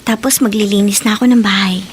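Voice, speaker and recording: An actress in a Filipino radio drama playing a shy, secretive teenage housemaid, polite and timid in manner. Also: female voice, mid-range voice, fairly even intonation, fast, slight background noise, lightly acted. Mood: wistful. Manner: timid, stammering, deferential.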